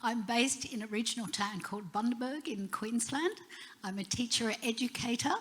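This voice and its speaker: A woman's voice: on the high side, moderate pace, fairly bright tone, husky. A woman from Australia, a teacher educator, introducing herself fluently on a conference panel.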